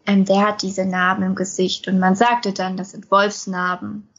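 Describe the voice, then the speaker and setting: Young woman, twenties, husky voice, conversational podcast host, muffled lo-fi recording. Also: mid-range voice, flat delivery, normal speed. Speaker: podcast host, self-help group member.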